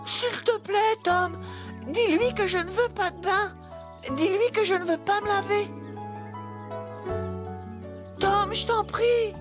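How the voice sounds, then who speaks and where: A squeaky, very high-pitched childish character in a kids' cartoon. The youngster is stubborn and whiny, always protesting, over a musical backing. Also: female voice, slow pace, pauses often, over music. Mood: pleading, upset. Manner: whining, protesting.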